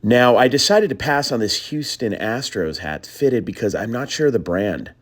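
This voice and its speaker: A man's voice: animated, normal speed. A man narrating a thrift-store reselling vlog as a late-night voiceover, tired and with his voice a little worn, talking casually and addressing viewers as guys. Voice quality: deeper voice than usual. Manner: chatty, trails off and restarts, addresses viewers as guys. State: tired, voice a bit gone.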